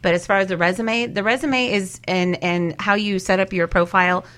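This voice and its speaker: A woman's voice: medium pitch, fairly even intonation, moderate pace, fairly bright tone. A woman giving casual advice as an expert guest in a panel discussion, chatty and fond of acting out little quotes. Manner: chatty, illustrates points with acted-out quotes.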